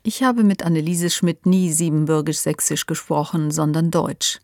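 A woman from Transylvania narrating a personal documentary in a reflective, measured way. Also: low-pitched, a little mellow, dry recording, studio quality. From Transylvania, Romania. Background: music.

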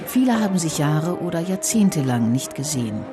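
A narrator reading a scripted documentary voice-over in a measured, even way. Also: mid-range voice, loud music behind the voice.

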